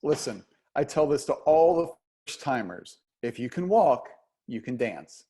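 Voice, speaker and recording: A man playing a patient, gently teasing dance instructor of sixty-one in a play reading. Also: mid-range voice, big pitch swings, unhurried. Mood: friendly, curious. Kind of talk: play reading over video call.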